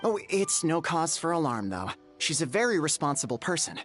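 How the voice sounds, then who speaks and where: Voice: medium pitch, very animated, fairly quick, a bit bright. A voice actor playing a flustered, eager-to-please bathhouse owner in a game, nervous and overly polite. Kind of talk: game voice acting. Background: faint game music.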